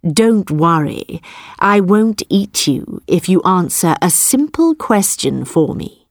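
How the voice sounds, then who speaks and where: A woman voicing a proud male tiger who asks slow, measured questions in a storybook reading. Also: fairly low pitch, very animated, no echo, clean studio sound, full character voice. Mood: demanding.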